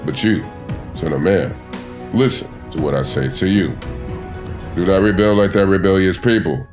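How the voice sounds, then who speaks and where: A man's voice: deep voice, moderate pace, music in the background, natural voice. A man voicing God in a dramatized scripture reading, stern, solemn and commanding, with emphatic, declamatory delivery.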